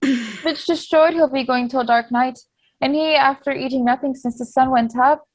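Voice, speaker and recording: Casting: actress as gentle younger sister in rural tragedy, high-pitched, closely recorded and dry. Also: female voice, fairly even intonation, moderate pace, dry recording, rough recording. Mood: anxious. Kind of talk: stage drama read as virtual play.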